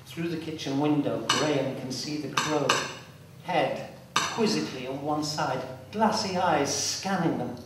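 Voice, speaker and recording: Man, measured dramatic narration, higher-pitched, distant mic, echoey space, background noise. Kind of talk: scripted dramatic narration, read live.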